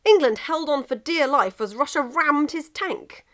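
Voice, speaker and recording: Animated woman reading a comic story. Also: sits high, unhurried. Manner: expressive, emphatic. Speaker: fan fiction reader.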